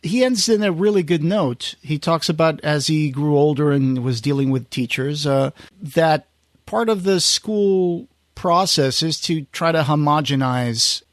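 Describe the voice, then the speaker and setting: A man with a slightly higher-pitched voice talks casually as a podcast host. He feels his way through sentences, often restarting and rewording. The recording is very dry, with no room sound. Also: unhurried, dry recording. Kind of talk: casual conversational recap.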